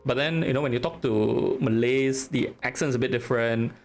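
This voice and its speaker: A man's voice: medium pitch, moderate pace, faint music behind. A man from Singapore with a Singaporean English accent, chatting casually on a live stream, teasing, reading chat aloud and laughing often.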